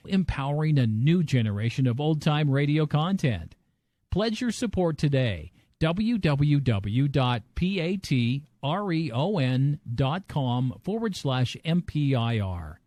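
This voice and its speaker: A man's voice: a little high, mellow, no echo, clean studio sound. A man reading a scripted promotional message as a podcast presenter.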